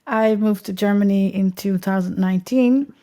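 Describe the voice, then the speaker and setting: A woman's voice: medium pitch, moderate pace, dark tone. A woman with a Dutch accent, speaking as a guest in a podcast interview, chatty and rambling with frequent ums. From Netherlands. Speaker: guest, accessibility consultant.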